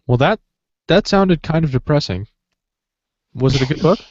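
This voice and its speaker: A man's voice: a little high, expressive, moderate pace, highs cut off. A man hosting a podcast panel, casually putting questions and tips to fellow writers. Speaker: podcast host asking questions.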